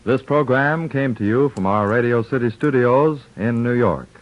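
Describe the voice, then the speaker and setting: A man announces a radio program in a measured, formal manner. The recording is muffled and old, with light music underneath. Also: mid-range voice.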